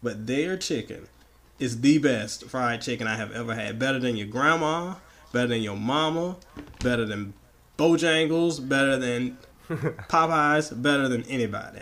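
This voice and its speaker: A man with a slightly raspy voice from the tail end of a flu, bantering emphatically and playfully as an opinionated podcast co-host. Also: medium pitch, measured pace, a bit bright. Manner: playful, emphatic, repeats words for effect. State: getting over the flu.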